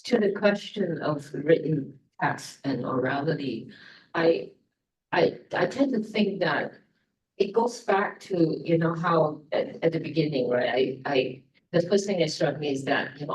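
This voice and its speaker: A conference panelist offering rambling remarks with many fillers and repeated words. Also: mid-range voice. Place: conference room. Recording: voice from a distance in the room.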